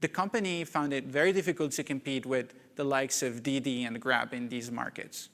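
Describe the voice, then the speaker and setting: A man from Morocco giving a prepared keynote talk at a conference, measured and deliberate. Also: medium pitch, steady tone, fairly bright tone. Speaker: conference speaker. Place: conference hall. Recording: reverberant conference hall.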